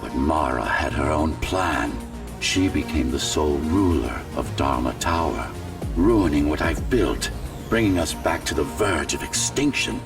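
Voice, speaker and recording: An actor playing the calm, commanding digital mind of an old architect in a video game, measured and condescending. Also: male voice, extremely low pitch, heavily acted. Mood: grave, bitter. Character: digital consciousness of a tower's architect, calm commanding older man. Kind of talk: scripted video game voice acting. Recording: distant voice under loud electronic music.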